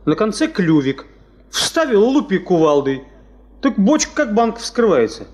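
A man's voice: sits high, sing-song, a little slow, a little mellow. An actor playing a cheeky, eager young male mechanic in a 1970s radio drama, slangy and punchy.

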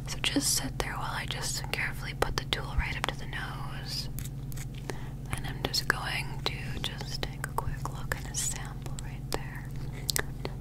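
A young woman voicing a calm alien doctor in a soft ASMR medical roleplay, speaking carefully and reassuringly in a whisper. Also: natural voice, rough recording. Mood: calm, clinical. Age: 20s. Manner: slow, careful, clinical, reassuring. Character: calm female alien doctor examining a human.

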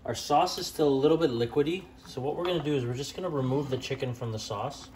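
Relaxed young man teaching a home recipe. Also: medium pitch, quick pace. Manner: relaxed and plain-spoken, with frequent "uh" and "um" fillers and small self-corrections. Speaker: home cooking channel host. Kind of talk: step-by-step cooking instruction. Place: home kitchen at the stove.

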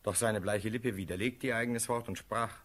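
An actor playing a prince's loyal, anxious friend in a radio play, speaking verse in an earnest, measured, husky voice. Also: male voice, fairly low pitch, steady tone, fairly bright tone. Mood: grave.

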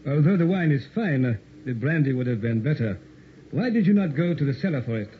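An actor playing a blustering, self-important police inspector with pompous, formal phrasing in an old-time radio horror drama. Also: male voice, medium pitch, animated, fairly quick, natural voice. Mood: inquiring. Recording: tinny, noisy old radio recording.

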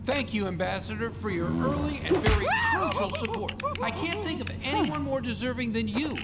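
Formal diplomatic official, gracious, lively intonation, animated game, loud score. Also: high voice, moderate pace, exaggerated voice. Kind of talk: animated film game dialogue. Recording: loud music under the voice.

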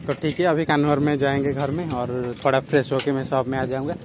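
A young man talks through his day in a relaxed, rambling way into a handheld phone. His delivery stays flat and even. Faint background noise sits behind the voice. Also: mid-range voice, quick pace. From Odisha, India. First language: Odia. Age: young adult. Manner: relaxed, rambling, many fillers. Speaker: vlogger. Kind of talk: casual daily vlog narration. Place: small village shop and outdoors. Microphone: phone camera at arm's length.

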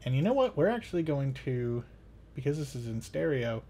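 A man's voice: mid-range voice, sing-song, fairly quick, dark tone. Casual man hosting a tutorial. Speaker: tutorial host. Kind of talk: casual step-by-step screen tutorial.

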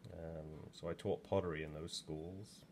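A man's voice: very deep voice, steady tone, unhurried, darkish tone, slight background noise. A man in his sixties from England, chatting in a rambling way with plenty of ums while working in a pottery studio, recorded from a camera just over his shoulder. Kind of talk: casual demo talk while working.

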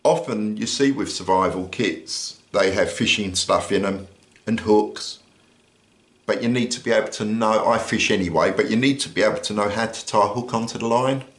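An older British man with an easygoing manner, explaining a survival kit item by item while pausing now and then. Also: lowish voice, reverberant. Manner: relaxed, explanatory, with frequent "uh" pauses. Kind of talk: casual show-and-tell demonstration. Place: indoors at a table. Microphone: overhead camera on hands, speaker off frame.

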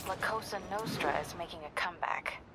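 An actress playing a confident, inquisitive young investigative reporter in a video game, conversational and probing, with an American accent. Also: female voice, fairly low pitch, fairly quick, very noisy, lightly acted. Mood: confiding. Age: young adult.

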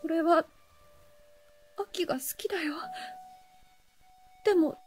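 A woman's voice: very high voice, slow, faint music behind. A woman playing a guarded, stubborn, anguished young girl who talks like a boy in a radio drama. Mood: anguished confession. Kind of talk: Japanese radio drama acting.

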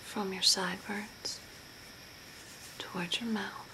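A young woman playing an eerie, calm zombie makeup artist in a soft breathy whisper, gently giving instructions in an ASMR role play. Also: medium pitch, close to an everyday voice. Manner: slow, deliberate, gently instructing. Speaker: ASMR host. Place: small room at home by a window. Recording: noisy home recording, background hiss. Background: clear background noise.

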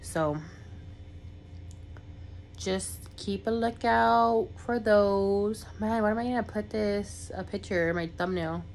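A woman's voice: medium pitch, steady tone, takes it slowly, vocal fry, a little mellow, slight background noise. A young woman, congested from a cold, rambling casually in a vlog with lots of fillers. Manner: rambling, filler-heavy, chatty. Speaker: vlogger.

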